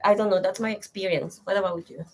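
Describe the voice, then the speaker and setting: A woman's voice: medium pitch, fairly even intonation, fast, darkish tone. Woman with a hesitant manner. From Mexico. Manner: hesitant, restarts phrases while searching for words. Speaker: guest. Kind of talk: casual conversation.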